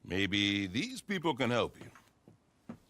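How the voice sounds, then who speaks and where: A folksy American lawman in a Western game cutscene, dismissive and offhand. His voice is bright and forceful, with a hugely varied melody. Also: lowish voice, very animated, slow, slight background noise, full character voice. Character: unhelpful frontier town lawman, dismissive and offhand. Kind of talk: video game cutscene acting.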